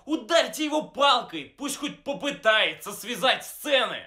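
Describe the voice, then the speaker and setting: A man's voice: very high voice, animated, measured pace, over loud music. A man in his twenties narrating a scripted comedic film review in a sarcastic, emphatic manner. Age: 20s.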